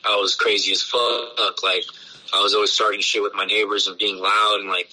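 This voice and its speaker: A man, a punk band's bassist, talking loosely in a casual interview, full of swearing and filler words. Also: level tone, moderate pace, pushed and bright, rough recording. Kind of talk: casual podcast conversation.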